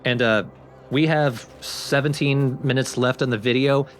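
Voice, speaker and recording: A man's voice: mid-range voice, fairly even intonation, measured pace, soft background music. A man giving casual, explanatory gameplay commentary in an informal way. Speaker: let's play host and player.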